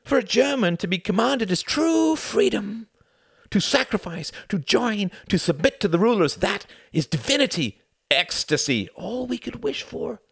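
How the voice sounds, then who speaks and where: A man's voice: sits high, sing-song, normal speed, vocal fry, fairly bright tone, husky, dry recording, studio quality, pitched above the performer's own voice, exaggerated voice. A man doing a rapturous German zealot. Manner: rapturous, sermonizing. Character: ecstatic German man preaching submission.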